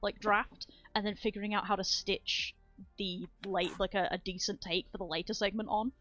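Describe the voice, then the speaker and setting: A woman's voice: medium pitch, fairly even intonation, normal speed, fairly bright tone. A woman streaming, chatting casually and rambling, laughing often and swearing freely. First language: not Swedish. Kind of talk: casual chat on a writing stream. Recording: dull lo-fi sound with faint music.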